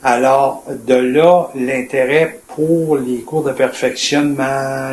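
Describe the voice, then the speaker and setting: Slow-talking Quebecois man in his seventies. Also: mid-range voice. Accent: Quebec French. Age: 70s. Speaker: retired police officer being interviewed. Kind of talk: personal storytelling in an interview. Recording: echoey room with faint noise.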